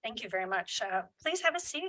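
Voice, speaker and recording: A woman's voice: a little high, very animated, fast, bright, forceful tone. A woman chairing a committee meeting, speaking in a formal, procedural way in a meeting room.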